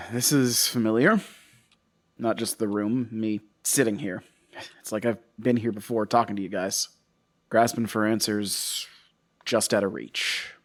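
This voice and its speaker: An actor playing a troubled, defensive investigator in a horror audio drama, halting, rambling and confessional. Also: male voice, lowish voice, close to an everyday voice. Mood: weary, confessional. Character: troubled, defensive male investigator recounting his case.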